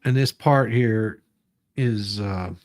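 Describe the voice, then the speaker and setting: A man reading documents aloud and commenting on them in a halting, deliberate way. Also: fairly low pitch, over loud music. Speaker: commentary show host.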